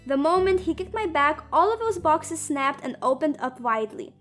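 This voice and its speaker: Young woman, high voice, sarcastic storytime narration, soft music behind. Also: lively intonation, moderate pace. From Lithuania. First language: Lithuanian. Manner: sarcastic, dramatic and self-mocking. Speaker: animator and narrator. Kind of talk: comedic animated storytime narration.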